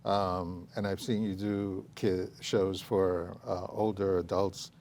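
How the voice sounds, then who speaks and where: A middle-aged man with a gravelly, fairly low voice interviews as a co-host. His phrasing is halting and conversational, set in a TV studio. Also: little pitch movement, a little mellow, a little hiss or hum. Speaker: talk show co-host. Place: TV studio stage.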